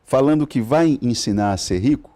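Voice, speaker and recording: Hesitant male TV host, mellow voice. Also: medium pitch, normal speed. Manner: hesitant, many fillers and restarts. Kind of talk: live TV interview. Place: TV studio.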